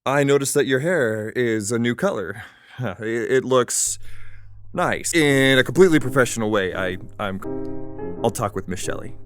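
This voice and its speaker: Man playing a halting, awkward school administrator. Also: medium pitch, soft background music, close to an everyday voice. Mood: awkward, flustered. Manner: halting, stammering, flustered. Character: fussy, rule-obsessed, awkwardly lovestruck male school administrator. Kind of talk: scripted audio comedy-drama acting.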